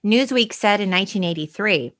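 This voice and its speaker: A woman's voice: medium pitch, animated, fairly quick, fairly bright tone. A middle-aged American woman co-hosting a podcast, telling stories in a wry, emphatic way, mixing casual chat with quoted articles. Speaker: podcast co-host.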